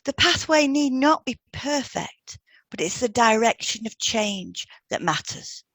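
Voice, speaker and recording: A woman co-hosting a scripted podcast, reading out advice in a gentle, measured way as a therapist would. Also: a little high, expressive, no room sound. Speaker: podcast co-host and hypnotherapist. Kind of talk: scripted podcast advice with example client questions read out.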